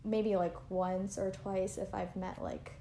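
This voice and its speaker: A young woman from Canada, chatting easily as an interviewee. She talks loosely and leans on fillers and likes. Her voice sits at an ordinary pitch, and the recording has a roomy echo. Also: little pitch movement, slow, mellow, a little hiss or hum. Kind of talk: casual interview chat. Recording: echoey room.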